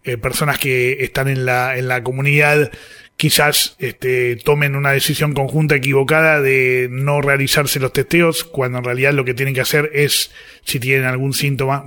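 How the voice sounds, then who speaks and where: A man with a Rioplatense Argentine accent presenting and commenting on live radio, reflective and pausing mid-sentence. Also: mid-range voice, flat delivery, bright, forceful tone. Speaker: radio host.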